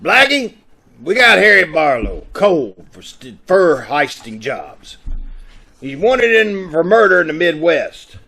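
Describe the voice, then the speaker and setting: Man acting creaky-voiced radio police inspector. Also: a little high, very animated, takes it slowly, pushed and bright, heavily acted. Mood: gruff, inquisitive. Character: Faraday, gruff, curious male police inspector. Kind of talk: 1940s radio detective acting.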